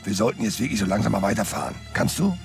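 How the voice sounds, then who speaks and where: A very gravelly, sardonic tough sidekick. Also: male voice, on the low side, animated, quick pace, soft background music. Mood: grim, urging. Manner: colloquial, mocking. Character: sardonic, street-tough male sidekick, drily amused. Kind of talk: German radio crime drama acting.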